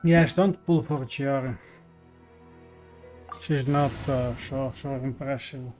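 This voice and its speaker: A game streamer giving casual, halting live commentary with mumbled asides. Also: mid-range voice, over music.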